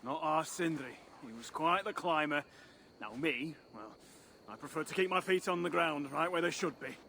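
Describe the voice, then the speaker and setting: Plain-spoken male dwarf for game cutscenes, brusque, fairly high and bright, ambient noise underneath. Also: expressive, normal speed, exaggerated voice. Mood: matter-of-fact. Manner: plain-spoken, matter-of-fact, scoffing. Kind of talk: video game cutscene acting. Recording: clear background ambient noise.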